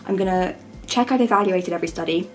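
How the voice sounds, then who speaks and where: A young woman with a croaky voice, chatting informally and rambling in a self-deprecating way as a student vlogger. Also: on the low side, fast talker, soft background music. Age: young adult. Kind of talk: casual chat to camera.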